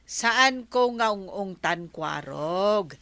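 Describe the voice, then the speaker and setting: An actress playing a long-suffering, exasperated wife and auntie in a Filipino comedy drama, with an Ilocano accent. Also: female voice, medium pitch, lively intonation, very slow, sharp tone, no echo, heavily acted. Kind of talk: broadcast comedy drama acting.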